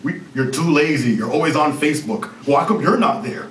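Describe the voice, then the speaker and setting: A man in his thirties with a Jamaican accent, a CEO giving an energetic conference presentation and joking with the audience. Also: fairly high pitch, brisk, slight background noise. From Canada. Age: 30s. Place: university lecture hall. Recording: roomy hall recording from far off.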